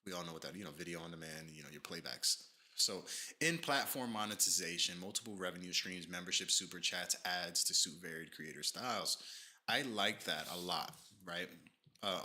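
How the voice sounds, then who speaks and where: A man's voice: low voice, pushed and bright. A man streaming and talking casually, a bit nasal from allergies, rambling with many fillers while he reads through notes. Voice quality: slightly nasal. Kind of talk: casual livestream commentary, reading from a page.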